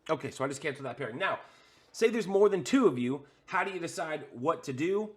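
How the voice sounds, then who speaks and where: A man with a fairly high voice walks through a demonstration like a tutorial host. He goes step by step, points at each device as he explains it, and fills his pauses with frequent "uh"s. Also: animated, a bit bright. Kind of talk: how-to tutorial explaining gear setup.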